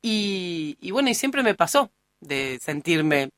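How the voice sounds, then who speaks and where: Bright Rioplatense woman in her forties, chatting. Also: fairly low pitch, big pitch swings, slow, dry recording, clean studio sound. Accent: Rioplatense Spanish. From born in Uruguay, raised in Argentina. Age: early 40s. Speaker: interview guest, political candidate. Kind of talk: casual conversational interview. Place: radio studio.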